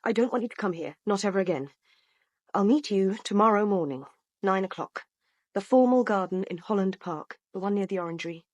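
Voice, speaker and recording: A woman's voice: mid-range voice, a little fast, darkish tone, dry recording, natural voice. A woman acting a guarded, insistent witness in a radio drama, speaking in terse, clipped phrases. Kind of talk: British radio drama dialogue.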